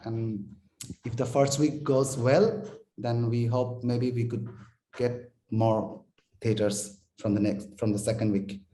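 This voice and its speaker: A film director answering audience questions, halting and repeating words as he searches for phrasing. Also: mid-range voice, fairly even intonation, warm tone, roomy sound. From Bangladesh. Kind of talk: post-screening Q&A answers. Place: cinema hall.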